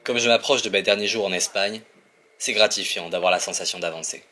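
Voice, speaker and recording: A man reading a scripted travel voice-over in a calm, even way. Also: low-pitched, a little fast. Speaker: narrator. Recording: voice-over with loud music bed. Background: loud guitar music.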